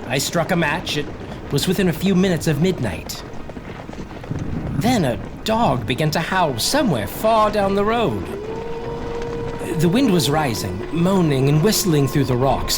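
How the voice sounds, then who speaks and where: A man's voice: a little high, big pitch swings, music in the background. An actor playing an anxious young English lawyer's clerk recounting his journal in a hesitant, foreboding audio drama style. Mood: tense dread. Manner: hesitant, foreboding, with halting repetitions. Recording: clear background noise, carriage ambience.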